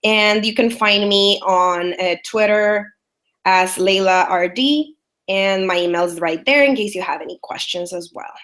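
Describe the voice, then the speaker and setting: A woman with a Salvadoran Spanish accent, a native Spanish speaker, talking over a webinar call. She presents in an upbeat way, at a middle pitch, with frequent filler sounds. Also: a little slow, a bit bright. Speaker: guest presenter, business strategist. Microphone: online webinar call.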